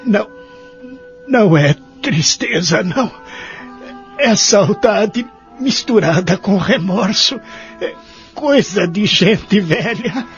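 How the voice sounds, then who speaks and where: A man's voice: high voice, animated, takes it slowly, pauses often, full character voice. An actor playing a lonely, remorseful old man who narrates his life in a care home in an audio soap opera. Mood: wistful. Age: older. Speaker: narrator and lead character of audio drama. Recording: dull lo-fi audio with music behind.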